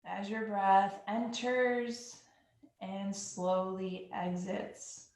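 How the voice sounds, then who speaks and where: A woman leads a yoga breathing practice in an unhurried, soothing way. Her voice sits at an ordinary middle pitch. The room around her has a noticeable echo. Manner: slow, calm, soothing guidance. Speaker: yoga instructor. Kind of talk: guided yoga breathing instruction. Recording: echoey room.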